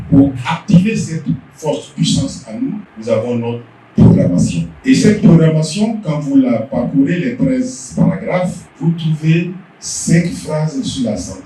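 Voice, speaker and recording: Male speaker, explanatory lecture, warm tone, distant roomy sound. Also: medium pitch, a little hiss or hum. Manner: explanatory, emphatic. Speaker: company director giving the main talk. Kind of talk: lecture-style speech. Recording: echoey hall from far off.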